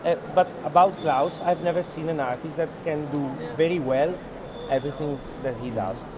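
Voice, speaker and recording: A man's voice: fairly high pitch, moderate pace. A man explains things in earnest, as a gallery owner in unscripted conversation, with hesitant phrasing. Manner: earnest, hesitant non-native English phrasing. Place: art fair hall, on a walking tour. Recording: noisy busy indoor fair hall.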